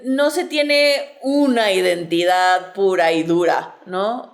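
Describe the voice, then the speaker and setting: A woman in her early forties with a Mexico City accent, chatting casually and reflectively about herself. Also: medium pitch. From southern Mexico and Mexico City. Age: early 40s. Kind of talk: casual personal chat.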